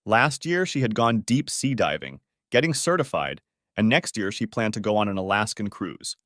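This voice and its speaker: A man's voice: lowish voice, big pitch swings, normal speed, a bit bright, no room sound, studio quality. A man narrating a first-person story from a script.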